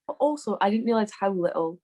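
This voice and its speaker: A woman's voice: medium pitch, lively intonation, fast, dark tone. A woman co-hosting a casual motorsport chat in an informal, opinionated way. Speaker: podcast co-host.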